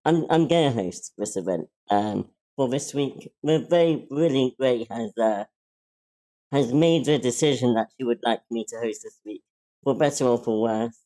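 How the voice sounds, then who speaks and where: A man with a deep voice standing in as host of an online script reading, talking casually with many ums, restarts and self-deprecating asides. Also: normal speed, dark tone, rough recording. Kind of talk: casual spoken hosting.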